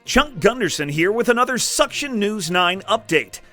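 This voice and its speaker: A man's voice: high-pitched, expressive, normal speed, sharp tone, faint music behind. A man playing a slick, upbeat TV news anchor reading a parody update in a polished announcer manner. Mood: brisk, polished.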